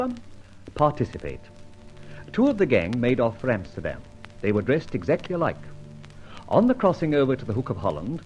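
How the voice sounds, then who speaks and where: An actor playing a dashing, self-assured gentleman adventurer in an old-time radio detective serial, jaunty and clipped. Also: mid-range voice. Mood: brisk narration. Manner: jaunty, clipped, theatrical exclamations. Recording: noisy old radio recording.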